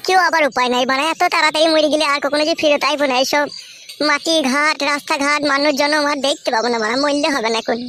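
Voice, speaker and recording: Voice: high-pitched, pushed and bright, slight background noise. A performer voicing a cheeky, whiny young male troublemaker in a comic cartoon, with melodramatic and crude slangy delivery.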